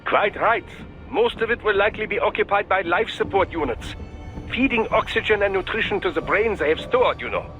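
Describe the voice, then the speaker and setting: An actor playing a polite, scholarly doctor of cyborgs in a video game, formal, deferential and a little halting. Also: male voice, high-pitched, animated, pushed and bright, faint music behind, low-quality recording. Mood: musing, precise. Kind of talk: video game cutscene acting.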